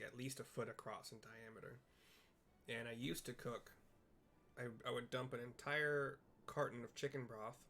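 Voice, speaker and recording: A man's voice: medium pitch, a bit bright. Casual male game streamer with a middling voice, thinking aloud, slight noise behind him. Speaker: gaming video host. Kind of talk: casual chat while playing and reading game text aloud.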